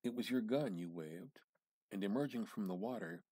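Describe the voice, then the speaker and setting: A man giving an amateur dramatic reading of a literary short story, with expressive, deliberate phrasing that drops at times into a hush. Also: fairly low pitch, slightly rough, dry recording.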